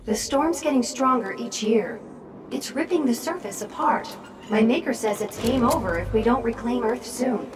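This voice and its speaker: A woman's voice: on the high side, moderate pace, noisy background, close to an everyday voice. A woman voicing a talkative, quippy drone companion in a video game. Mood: earnest, wry. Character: cheeky, talkative flying drone companion, female.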